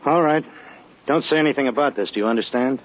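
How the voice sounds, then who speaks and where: An actor playing a firm, businesslike American federal investigator, curt and commanding, in a 1950s radio drama. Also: male voice, mid-range voice, fast, lightly acted. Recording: dull vintage radio recording.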